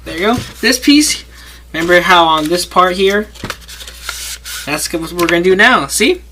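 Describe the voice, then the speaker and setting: A man's voice: high voice, animated, a little slow, sharp tone. A man walking through a paper craft step by step, rambling and breaking off his own sentences as he works. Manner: rambling, talks while working, self-interrupting. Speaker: paper-craft tutorial maker. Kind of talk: casual hands-on tutorial. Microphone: camera mic. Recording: noisy home camera audio with clicks.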